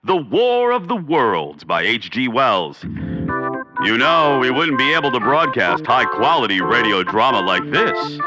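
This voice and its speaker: Male announcer, vintage radio style, scripted and lively, music bed underneath. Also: mid-range voice, a little slow.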